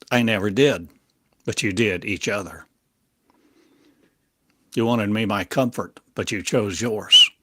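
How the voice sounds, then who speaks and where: Man, lowish voice, very slow and measured, halting oracular channeling delivery, dry close sound. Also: bright, forceful tone, dry recording. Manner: halting, fragmented, oracular phrasing with coined words. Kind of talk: prophetic channeled monologue.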